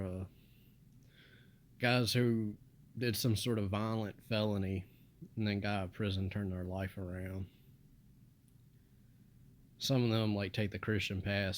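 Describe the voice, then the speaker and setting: Monotone, mumbling young man. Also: fairly low pitch, a little hiss or hum. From United States. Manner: monotone, flat delivery with mumbled asides. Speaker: vlogger. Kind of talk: casual rambling monologue.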